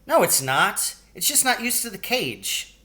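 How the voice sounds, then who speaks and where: A man voicing an eager, stubborn young farm boy in a storybook, excitable and insistent. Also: sits high, measured pace, voice pushed up, full character voice.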